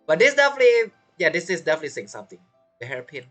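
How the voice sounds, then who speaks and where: A woman's voice: lowish voice, big pitch swings, rapid-fire, soft background music. A woman giving chatty, playful reaction commentary as she streams a game. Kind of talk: casual reaction commentary over gameplay.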